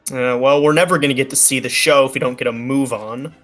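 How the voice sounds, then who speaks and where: A man's voice: medium pitch, steady tone, fast talker, fairly bright tone, faint music behind, close to an everyday voice. A man playing an easygoing detective hero in a 1940s-style radio play.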